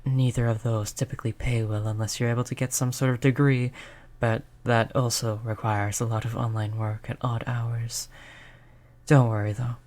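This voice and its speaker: A performer voicing a polite vampire who looks like a child, gentle, reassuring and chatty in an audio roleplay. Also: mid-range voice, flat delivery, normal speed, natural voice. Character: polite vampire turned young, stuck looking like a child. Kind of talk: audio roleplay spoken to the listener.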